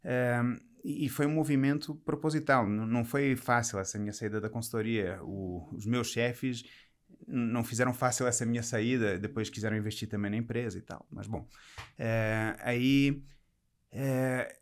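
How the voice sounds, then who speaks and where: A man raised in Portugal, with a Portuguese accent softened by years in Brazil, talks reflectively as a podcast guest, explaining and rephrasing as he goes. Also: mid-range voice, fairly even intonation, normal speed. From Portugal, raised there from age seven. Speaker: podcast guest, business executive. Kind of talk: conversational interview, personal career account.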